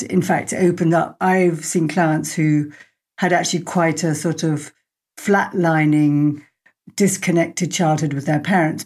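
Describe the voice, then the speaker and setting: A woman's voice: low-pitched, a little mellow. A middle-aged woman hosting an interview, sharing reflective thoughts in long, linked sentences. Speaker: podcast host.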